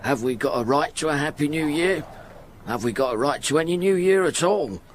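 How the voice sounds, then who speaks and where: Actor as a rambling poor old errand porter. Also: male voice, fairly high pitch, expressive, a little fast, slight background noise, natural voice. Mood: glum musing. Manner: rambling, folksy, ungrammatical working-class speech. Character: poor, humble ageing errand porter, devoted father. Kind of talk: radio drama acting, first-person monologue.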